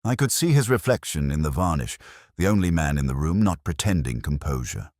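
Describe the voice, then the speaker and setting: A man reading scripted first-person narration of a detective mystery in a measured, brooding, literary manner. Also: very deep voice, expressive, a little mellow, no echo, studio quality. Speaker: audio drama narrator.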